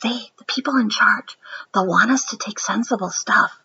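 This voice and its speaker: A woman voicing a gentle, anxious mother in a children's storybook, speaking carefully. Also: mid-range voice, moderate pace, husky, muffled recording. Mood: anxious, hushed.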